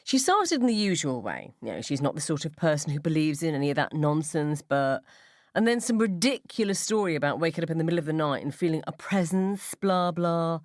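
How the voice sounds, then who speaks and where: A woman's voice: low voice, sing-song, a little fast, dry recording, studio quality. A woman playing a scornful, gossipy mother at the school gates in a radio story, with an emphatic, mocking drawl. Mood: mocking incredulity.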